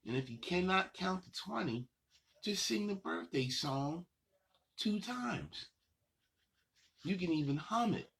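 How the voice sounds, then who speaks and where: A man hosting a children's story time, talking straight to young children in simple, repeated, encouraging phrases, with a gravelly, husky voice. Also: high voice, expressive, slow, long pauses, fairly bright tone, slight background noise. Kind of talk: addressing young children directly.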